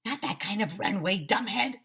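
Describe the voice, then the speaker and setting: A woman's voice: mid-range voice, expressive, moderate pace, sharp tone, slightly rough, pitched above the performer's own voice, exaggerated voice. A woman voicing a mean, scornful schoolgirl with a snippy manner in a storybook reading. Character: mean, scornful schoolgirl who scolds classmates.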